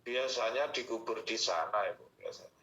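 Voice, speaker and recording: A man's voice: medium pitch, normal speed, pushed and bright. A man answers listeners' religious questions as an Islamic teacher, explaining by listing and repeating points. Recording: muffled radio-like audio, roomy echo.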